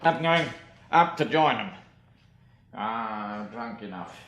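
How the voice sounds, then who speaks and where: An actor playing a drunk, despairing, blind British pub landlord, slurred and rambling, in a radio drama. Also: male voice, medium pitch, lively intonation, slow, fairly bright tone, dull audio.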